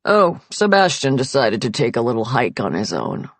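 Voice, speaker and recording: Woman doing a genial older dad, lowered voice. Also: sing-song, moderate pace, slightly rough, dry recording, full character voice. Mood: genial teasing. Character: genial older father, teasing and easygoing.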